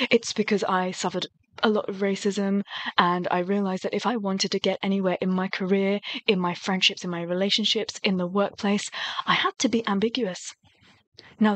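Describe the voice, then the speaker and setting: A woman's voice: medium pitch, a bit bright, no echo. A young woman from England with a British accent, speaking earnestly in a personal, reflective commentary as a reaction host. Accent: British English.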